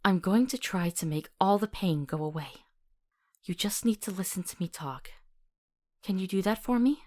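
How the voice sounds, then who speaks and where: A woman's voice: mid-range voice, lively intonation, normal speed, darkish tone, natural voice. A woman playing a compassionate young doctor in a visual novel, talking tenderly and coaxingly as if telling a soothing story.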